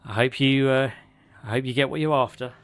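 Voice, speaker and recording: A man streaming a game, reading lore aloud with sarcastic asides, his voice going hoarse and a little out of breath. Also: mid-range voice, brisk, a bit bright. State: slightly out of breath. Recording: faint music behind.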